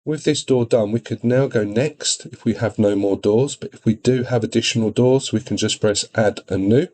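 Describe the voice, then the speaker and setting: A man demonstrating software in an informal tutorial, explaining things step by step. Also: mid-range voice, moderate pace. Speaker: product demonstrator.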